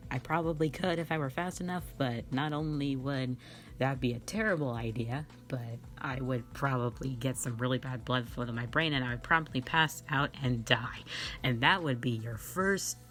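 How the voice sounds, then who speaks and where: A woman's voice: rumbling low, moderate pace, a bit bright, soft background music. A young woman hosting a casual question-and-answer chat, giggly, rambling and playfully self-mocking. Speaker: online channel host and amateur voice actor.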